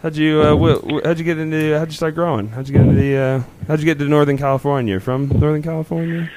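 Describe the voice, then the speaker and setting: A man's voice: on the high side, steady tone, fast, a little mellow, rough recording. A man co-hosts a radio show in an upbeat, playful way. He keeps the chat light, and a faint noise hums behind his voice. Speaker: radio co-host.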